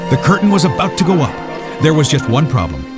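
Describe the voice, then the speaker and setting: Man, children's story narration, expressive, higher-pitched, very varied intonation, scripted. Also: fast talker, over loud music.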